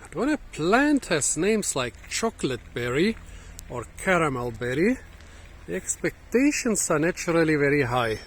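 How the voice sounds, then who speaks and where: A hoarse man, musing and halting as he thinks aloud while hosting a casual fruit-tasting vlog outdoors in a garden, recorded on a phone. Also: on the high side, big pitch swings, slight background noise. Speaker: fruit channel host. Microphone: phone camera.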